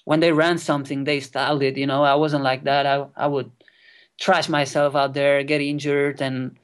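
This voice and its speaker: A young man in his twenties, a non-native English speaker whose first language is Catalan, telling a wild kayaking story as a guest, excited and swearing freely. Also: a little high, normal speed, clean tone. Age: 20s. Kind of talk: casual storytelling interview. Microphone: remote call.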